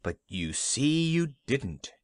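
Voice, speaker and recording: A reader voicing a calm, wise wizard who speaks with easy assurance. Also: medium pitch, slow, dry recording. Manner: assured, consoling, wry.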